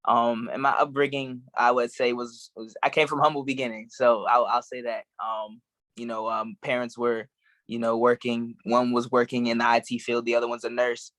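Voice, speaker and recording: A young man from Maryland answering interview questions in a relaxed, rambling way with plenty of fillers. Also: mid-range voice, moderate pace, dry recording. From Maryland, USA. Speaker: guest actor.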